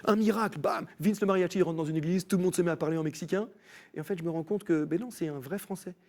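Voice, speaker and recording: Man, slightly high voice, lively storyteller, faith testimony, studio guest. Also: quick pace. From France. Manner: animated storyteller, acts out quoted voices. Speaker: guest, musician. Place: TV studio set.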